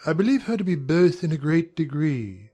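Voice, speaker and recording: A charming young gentleman, acted by a man speaks in a fairly high male voice over music. He is confiding and quietly resentful, as in a period audiobook drama. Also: sing-song, normal speed, breathy edge. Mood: disdainful.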